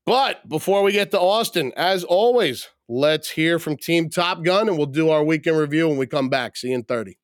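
A man hosting a casual podcast, joking with his co-host and introducing the episode while still sick, his voice a little rough. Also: a little high, expressive, normal speed, hardly any pauses, dry recording, studio quality. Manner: laid-back, joking, swears casually. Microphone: remote call, mutes own mic.

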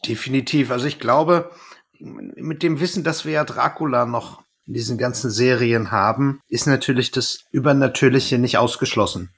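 A man's voice: medium pitch, normal speed, slightly rough. A man, a podcast co-host casually retelling and commenting on a story. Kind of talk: casual discussion of an audio drama, retelling and commenting.

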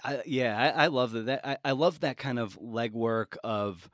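A man hosting a podcast interview who asks thoughtful questions, often halting and restarting as he speaks. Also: medium pitch, no echo, studio quality. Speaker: podcast host. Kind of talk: conversational interview.

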